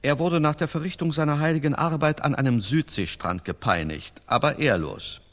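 Actor as an earnest, formal medieval companion. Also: male voice, fairly high pitch, normal speed, fairly bright tone, lightly acted. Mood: solemn. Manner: formal, exhorting, mock-archaic diction. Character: earnest, lofty male companion urging a knight to honour. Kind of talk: German radio play acting.

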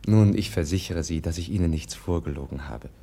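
Gentle naive young prince, lowish voice, polite and earnest, old german radio drama. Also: male voice, a little fast. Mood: calm, candid. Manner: courteous, earnest, guileless. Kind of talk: 1950s German radio play acting.

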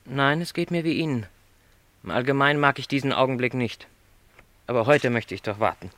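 A man's voice: medium pitch, fairly quick, a bit bright, no room sound, natural voice. An actor playing a lonely middle-aged travelling salesman in a literary radio play, speaking with courteous, reflective resignation. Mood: quietly firm.

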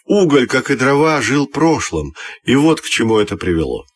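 A man reading a scripted children's fairy tale as an expressive, measured storyteller. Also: medium pitch, breathy edge.

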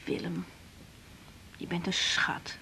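An actress with a Netherlands Dutch accent playing a tender, shy young doctor, hesitant and soft, in a 1980s radio drama. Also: female voice, slow, whispered. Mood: tender, moved. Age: young adult. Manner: hesitant, soft, repeating words. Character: tired young woman doctor, tender, slightly shy dinner guest.

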